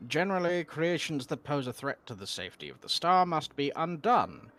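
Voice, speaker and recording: A man's voice: on the high side, very animated, moderate pace, fairly bright tone, faint music behind, voice pushed up. A man voicing a formal male official guarding a research facility, reading game dialogue in stiff, archaic phrasing on a live stream. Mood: stern.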